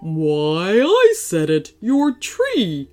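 A woman's voice: on the low side, wildly swooping pitch, very slow, darkish tone, faint music behind, exaggerated voice. A woman voicing a friendly, jolly talking Christmas tree in a storybook, hearty, playful and proud. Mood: playfully indignant.

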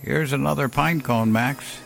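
A man's voice: mid-range voice, measured pace, vocal fry, pitched above the performer's own voice. A man voicing an elderly, grieving friend in an audio story, speaking tenderly and plainly at a graveside. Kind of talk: dramatic audio story reading. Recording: music behind the voice.

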